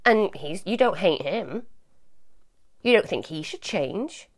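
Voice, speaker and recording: Loud, animated woman in her thirties. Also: mid-range voice, slow. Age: 30s. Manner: animated, loud, jumps between topics. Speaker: advice show host. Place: at home, walking through a child's room.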